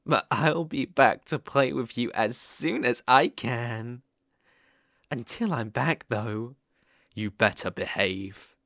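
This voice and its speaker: A slow, gravelly male yandere kidnapper, acted out by a man in an intimate ASMR roleplay. He is possessive and sadistic, taunting his captive with mock sweetness and menace, recorded close and dry. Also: mid-range voice, a bit bright, no echo.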